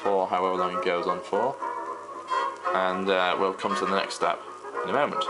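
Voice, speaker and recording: A young man giving an informal tutorial in a halting, conversational way, recorded at home on a camera microphone. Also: low voice, little pitch movement, over loud music, poor audio. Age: young adult. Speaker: host, hobbyist record restorer. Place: small room at home, indoors. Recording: faint background noise, home recording.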